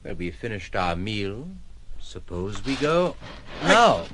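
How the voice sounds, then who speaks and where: An actor playing a reserved, ironic gentleman with a formal, grave manner in a radio drama. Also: fairly low pitch, big pitch swings, a little hiss or hum, full character voice. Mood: dry, controlled. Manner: formal, dry, measured.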